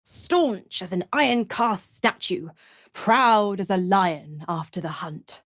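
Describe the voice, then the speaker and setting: A woman's voice: mid-range voice, dry recording, studio quality. A woman playing a mocking, scheming female devil in a video game, theatrical, teasing and condescending. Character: seductive, mocking, scheming female devil, smugly cruel patron. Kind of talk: video game character acting.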